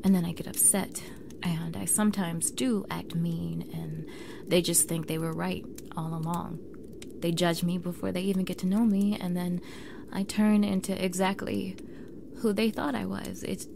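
A young woman acting a standoffish, awkward mean girl who is softening, in an intimate ASMR roleplay, halting and flustered, with soft breathy delivery. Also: on the low side, fairly even intonation, background noise. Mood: hurt, confiding. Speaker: audio roleplay voice actor.

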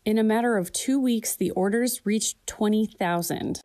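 Woman reporter, voice-over narration, mellow warm tone, steady and clear. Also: mid-range voice, normal speed.

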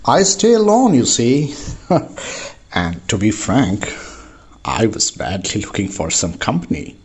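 Man acting a husky, lively old crofter. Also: fairly low pitch, slow, heavily acted. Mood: welcoming. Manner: effusive, chatty, repeats himself. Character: lonely, hospitable, talkative old crofter, eager to confide. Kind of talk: amateur radio drama acting.

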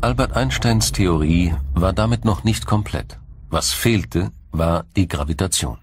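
A man narrating a scripted documentary in a measured, explanatory storytelling manner. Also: deep voice, breathy edge. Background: music.

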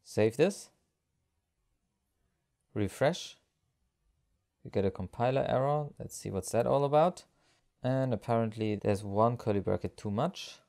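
A man narrating a step-by-step software screencast tutorial as an instructor, explaining each action as he goes. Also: mid-range voice, a little slow, gravelly, pauses often.

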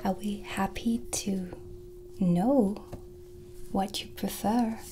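A woman speaking in a gentle, hushed ASMR whisper, close to the mic and unhurried. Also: mid-range voice, steady tone, rough recording. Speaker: ASMR host in a witch roleplay. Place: small dim indoor studio.

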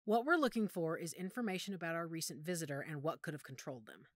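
A woman playing a knowledgeable werewolf pack member in an audio drama, speaking in a measured, authoritative, explanatory way. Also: deep voice, animated, no echo, clean studio sound. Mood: serious, explanatory. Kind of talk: audio roleplay drama acting.

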